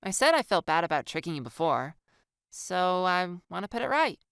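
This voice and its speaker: A woman voicing a playful, excitable young woman with a bubbly, teasing, theatrical manner in an audiobook story. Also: lowish voice, normal speed, clean tone, no room sound, studio quality, pitched above the performer's own voice. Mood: cheeky, coaxing. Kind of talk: audiobook character dialogue.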